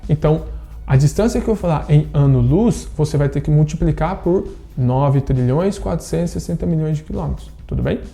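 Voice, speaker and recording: A man in his thirties with a Brazilian Portuguese accent, teaching a science lesson online and explaining step by step, often checking in with quick tag questions. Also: on the high side, creaky, mellow, faint music behind. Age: 30s. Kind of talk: online class lecture.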